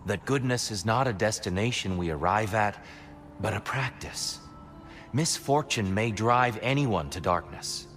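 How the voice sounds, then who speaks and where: An actor playing a wise, theatrical godly mentor in a video game, speaking in an eloquent, probing manner. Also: male voice, fairly low pitch, animated, a little slow. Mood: calm, counselling. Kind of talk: cinematic video game acting. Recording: loud music behind the voice.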